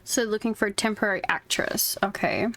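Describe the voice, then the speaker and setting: A woman's voice: mid-range voice, fairly even intonation, a little fast, fairly bright tone. A woman streaming a game, giving casual, chatty live commentary and reading along. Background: game music.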